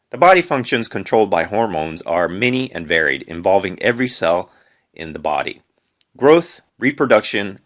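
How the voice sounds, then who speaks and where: Male amateur reader, lowish voice, deliberate phrasing, unpolished textbook read-aloud. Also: a bit bright. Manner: deliberate phrasing, reads headings aloud, stumbles and corrects himself. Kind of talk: unedited educational read-aloud.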